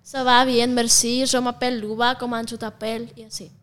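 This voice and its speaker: High, smooth-voiced young Russian woman. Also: flat delivery, normal speed, a bit bright. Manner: frank, chatty, many fillers. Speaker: guest. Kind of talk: casual interview chat.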